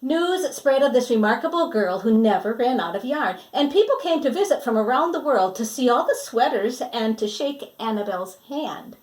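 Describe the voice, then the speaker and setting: A woman's voice: fairly high pitch, animated, normal speed, few pauses, a little mellow. A woman reading a picture book aloud to children, dramatic in delivery and putting questions to her young listeners. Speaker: children's story reader and teacher.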